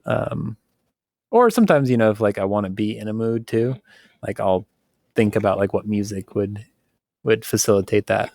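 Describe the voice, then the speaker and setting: A man co-hosting a casual podcast conversation, talking in a relaxed, rambling way with many fillers and frequent laughs. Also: fairly low pitch, normal speed, gravelly, dry recording, clean studio sound. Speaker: podcast co-host.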